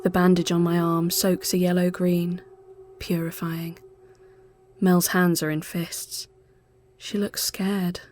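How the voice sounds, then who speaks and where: A woman giving a literary first-person narration, measured, hushed and sombre. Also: on the low side, level tone. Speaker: storyteller.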